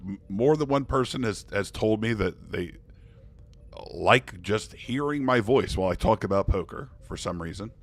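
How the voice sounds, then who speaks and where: A man chatting casually on a live poker stream, thanking viewers and joking in character as a starship commander. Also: lowish voice. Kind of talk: casual live-stream chat while playing online poker.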